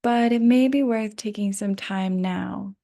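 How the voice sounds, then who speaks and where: Slow, creaky-voiced woman guiding meditation. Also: mid-range voice. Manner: gentle, unhurried, with long pauses. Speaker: yoga nidra guide. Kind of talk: guided rest meditation.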